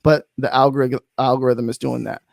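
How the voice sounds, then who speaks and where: A man's voice: mid-range voice, level tone, fairly quick, creaky, dry recording. A man hosting a casual video-call interview, rambling with frequent restarts and fillers. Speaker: host.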